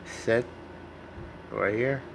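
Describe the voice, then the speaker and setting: A man talks through a hands-on demonstration in a mid-range voice. He is plain and instructive, often restating a step. A light, steady hum of room noise sits behind his words. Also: mid-range voice, drawn-out words, gravelly. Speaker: radio repair hobbyist demonstrating. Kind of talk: informal hands-on tutorial narration.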